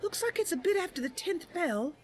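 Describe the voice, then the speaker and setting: A woman's voice: very high voice, lively intonation, fairly quick, pitched above the performer's own voice. A woman voicing a young female wood elf adventurer, cautious, earnest and hesitant, thinking aloud in a game roleplay. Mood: cautious, uneasy. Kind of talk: in-character video game roleplay.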